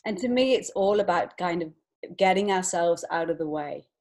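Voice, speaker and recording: A woman's voice: lowish voice, expressive, normal speed, a little mellow. A woman speaking as an interview guest in a reflective, conversational way, earnest and feeling her way through phrases. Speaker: podcast guest, children's author and illustrator.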